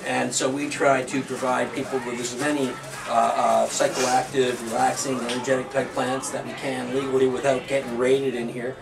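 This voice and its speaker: A man's voice: medium pitch, flat delivery, moderate pace, gravelly, noisy background. A man in his thirties, a shop owner answering interview questions in a loose way, full of "like" and "you know.". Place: small indoor shop. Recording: echoey room, distant mic.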